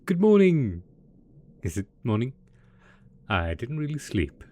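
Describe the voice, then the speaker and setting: Man acting a theatrical, low-voiced mad scientist boss. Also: very animated, unhurried, exaggerated voice. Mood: manic cheer. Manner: theatrical, playful, mock-innocent, chuckling. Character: gleeful mad scientist supervillain boss, male, smug, possessively doting. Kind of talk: scripted roleplay audio drama.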